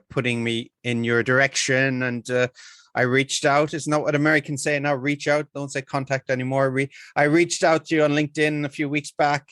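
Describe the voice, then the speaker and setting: A man's voice: medium pitch, fairly bright tone, no room sound, studio quality. A man hosting a podcast interview, friendly and rambling, with hesitant starts. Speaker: podcast host. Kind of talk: casual interview talk.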